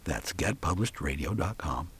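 Lively man hosting a radio podcast. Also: extremely low pitch, moderate pace, breathy edge, no echo. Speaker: radio host. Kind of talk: podcast chat and promotional talk.

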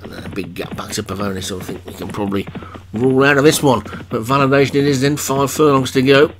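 A man commentating a video game horse race for an online league, chatting between calls, his voice getting croaky. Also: mid-range voice, fast, background noise.

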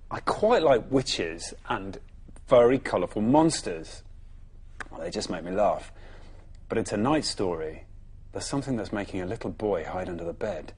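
Male, 30s, English accent. Children's story presenter, dramatic delivery with wide melodic swings and a breathy edge. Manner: dramatic, often dropping soft and low. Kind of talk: expressive storytelling for young children. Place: television studio.

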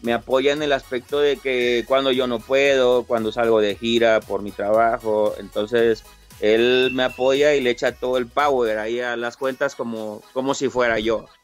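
A man's voice: medium pitch, steady tone, normal speed, faint music behind. Easygoing Mexican man, chatty. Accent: Mexican Spanish. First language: Italian-born, raised in Mexico. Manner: friendly, repeats words, frequent fillers. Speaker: interview guest, top game player. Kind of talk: casual interview answers.

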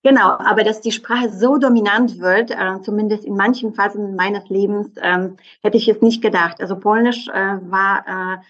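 Zögernde Frau mit polnischem Akzent, dumpfer Klang. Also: female voice, medium pitch, fairly even intonation, moderate pace, few pauses, highs cut off. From southeastern Poland. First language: Polish. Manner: hesitant, many fillers. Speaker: guest. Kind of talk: conversational interview.

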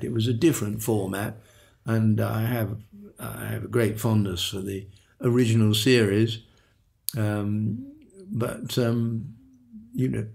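An older man, a veteran voice actor, reminiscing in an interview with a halting, repetitive way of talking and soft chuckles. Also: medium pitch, gravelly. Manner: halting, repeats words, chuckles softly.

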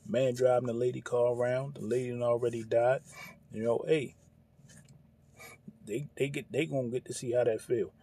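An American man speaks off the cuff in a gravelly, husky voice. He is informal and preachy, like a homespun religious commentator reacting to the news. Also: mid-range voice, flat delivery, moderate pace, pauses often, dark tone. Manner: informal, preachy, peppered with "you know" and "hey".

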